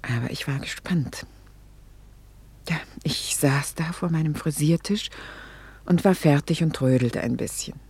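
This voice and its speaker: An actress playing a reflective, vain middle-aged wife in a radio play, hesitant and confiding, with dramatic pauses and breathy near-whispers. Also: female voice, low voice, darkish tone, full character voice. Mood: wistful, reflective. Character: reflective, vain, self-reproaching middle-aged wife confessing her memories. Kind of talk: intimate German radio play monologue.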